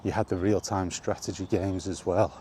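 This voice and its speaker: A man hosting a casual, rambling chat, thinking aloud with hesitant pauses. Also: deep voice, fairly even intonation, mellow. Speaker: video host reminiscing about games. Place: on the move, walkie talkie vlog. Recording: faint ambient background noise.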